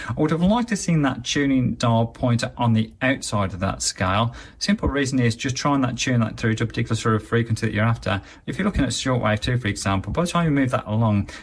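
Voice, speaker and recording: A man's voice: mid-range voice, slightly rough. A man giving a casual, chatty and matter-of-fact hands-on review of a gadget. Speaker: product reviewer.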